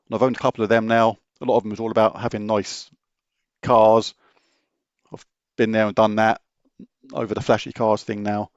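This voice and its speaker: A man's voice: lowish voice, level tone, normal speed, long pauses, darkish tone, no echo, dull audio. A middle-aged British man hosting a gaming channel, casually answering viewer questions in an open, matter-of-fact way that rambles and restarts. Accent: British English. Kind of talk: casual Q&A chat.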